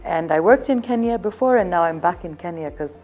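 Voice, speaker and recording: Woman with a mellow, lowish voice. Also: lively intonation, fairly quick, faint music behind. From Zambia. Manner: upbeat, selling, keeps circling back to one theme. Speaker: hotel events representative. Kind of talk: promotional interview answers.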